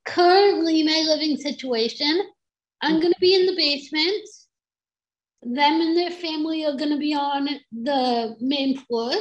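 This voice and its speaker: A woman's voice: sits high, measured pace, dull audio. A woman panelist chatting eagerly on a video call. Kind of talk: casual video call chat.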